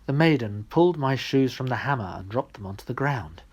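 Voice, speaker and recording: A wily fox god from a storybook, voiced by a male reader. He recounts his exploits with smug, boastful relish. The pitch stays in a middle male range. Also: expressive, a little fast, breathy edge. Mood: smug recounting.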